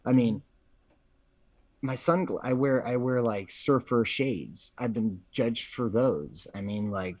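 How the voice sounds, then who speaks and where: A man with a husky voice chats casually as a co-host, slangy and profane, repeating phrases for emphasis. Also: mid-range voice, takes it slowly, darkish tone, dry recording. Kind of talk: casual opinionated chat.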